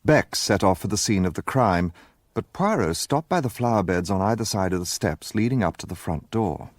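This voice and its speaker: A detective's loyal, gentlemanly companion narrating a radio drama. He is earnest and expressive, in a lowish voice recorded close and dry. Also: normal speed, no echo.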